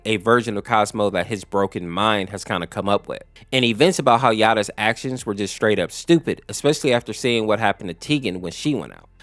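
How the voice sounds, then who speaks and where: A man's voice: fairly low pitch, fairly bright tone, no echo. A man narrating a story recap in a conversational, explanatory way, speaking directly to viewers. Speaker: YouTube lore explainer host.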